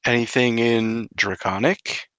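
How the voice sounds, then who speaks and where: A man's voice: mid-range voice, fairly even intonation, a little slow, a bit bright, smooth, no echo. A man playing a tabletop role-playing game, chatting casually and inquisitively in informal language with some mild swearing.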